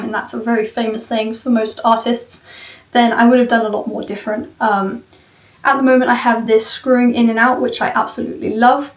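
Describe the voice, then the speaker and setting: High-voiced rambling woman in an echoey room. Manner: rambling, candid, self-deprecating. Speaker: maker presenting a cosplay prop she built. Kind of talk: informal video walkthrough and review of a build. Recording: roomy echo, far from the mic.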